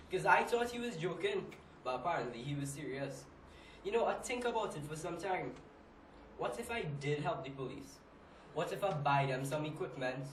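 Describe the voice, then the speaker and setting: A man's voice: on the high side, close to an everyday voice. Echoey, frustrated Trinidadian businessman, acted by a man. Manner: emphatic, exasperated, with rhetorical questions. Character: frustrated, weary businessman fed up with robberies. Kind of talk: dramatic monologue, amateur stage acting. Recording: echoey room, distant mic, faint noise.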